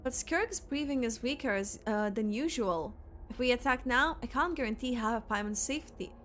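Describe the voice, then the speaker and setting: A woman streamer giving casual, expressive playthrough commentary, hesitant and slangy in how she talks. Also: a little high, normal speed, slight background noise. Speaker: gaming streamer. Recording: game music underneath. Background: game music.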